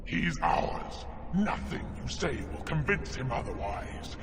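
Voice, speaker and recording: A menacing, defiant demon for a fantasy video game. The voice is gravelly and swoops expressively, drenched in long reverb with music underneath. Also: male voice, medium pitch, big pitch swings, slow, full character voice. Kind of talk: dramatic video game acting.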